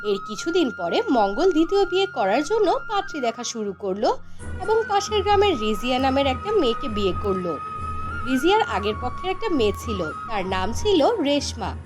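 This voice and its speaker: A woman's voice: sits high, very animated, normal speed. A woman narrating a scripted fairy tale for a cartoon, as a storyteller. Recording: music behind the voice. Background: background music.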